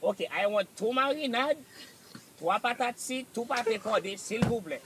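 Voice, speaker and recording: A man's voice: very high voice, lively intonation, normal speed, noisy background. A man placing an order in broken English, sprinkling in mangled French words, insistent and exasperated. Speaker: prank customer. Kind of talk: prank call. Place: drive-thru ordering lane.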